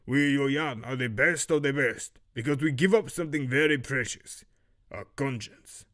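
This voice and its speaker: Guy doing cold strict archery master for audio drama, muddled romanian-ish accent, bright forceful voice, formal scolding. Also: medium pitch, natural voice. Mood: cold, solemn. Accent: muddled Eastern European, Romanian-like. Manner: measured, severe, formal lecturing. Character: stern master archery instructor, coldly admonishing a student. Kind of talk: fan audio drama character acting.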